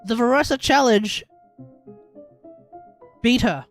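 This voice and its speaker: A woman's voice: fairly high pitch, slow pace, soft background music. A woman with an Australian accent giving casual, slangy, emphatic live commentary as a game livestreamer, often repeating phrases.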